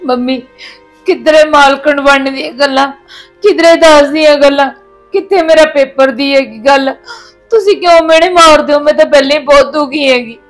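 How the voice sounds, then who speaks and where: A woman's voice: high voice, moderate pace, faint music behind, lightly acted. A woman playing a young daughter-in-law who pleads and defends herself while crying. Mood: tearful. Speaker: actor in a family skit. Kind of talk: domestic drama skit.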